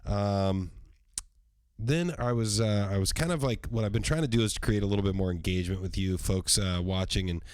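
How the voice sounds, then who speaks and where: A man with a deep, hoarse voice hosts his own podcast livestream. He talks in a loose, rambling way, swears freely and fills his pauses with ums. The sound is dry and close, with no room around it. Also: darkish tone, dry recording. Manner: rambling and profane, with many ums. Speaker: podcast host. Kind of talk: casual solo podcast livestream.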